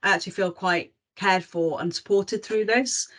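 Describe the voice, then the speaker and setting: A woman leads a relaxed panel discussion. She talks in a reflective way, with tag questions at the ends of her points. Her voice sits at a middle pitch. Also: moderate pace.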